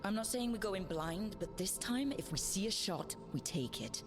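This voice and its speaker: A woman's voice: mid-range voice, lively intonation, normal speed, music in the background, lightly acted. A woman playing a decisive young heroine who leads a party in a fantasy video game, firm and plain-spoken. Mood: urgent, resolute.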